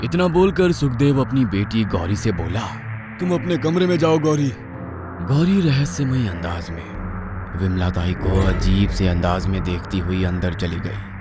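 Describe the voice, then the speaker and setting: A man's voice: low-pitched, animated, unhurried. A man narrating a scripted horror story in a dramatic storytelling style. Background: music.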